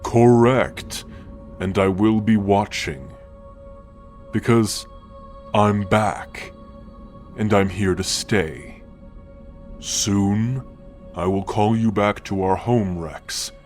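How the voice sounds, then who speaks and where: A man voicing an ancient, godlike great-grandfather, wise and quietly commanding, speaking deliberately and affectionately in a scripted ASMR roleplay. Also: on the low side. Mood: solemn, commanding. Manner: slow, deliberate, affectionate, with sighs and soft chuckles. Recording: music under the voice. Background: background music.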